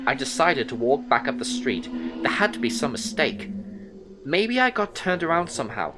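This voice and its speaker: A man playing a troubled detective who narrates an eerie horror audio drama in the first person, in a measured, brooding way. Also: medium pitch, big pitch swings, background noise, lightly acted. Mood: baffled. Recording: music under the voice. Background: music soundtrack.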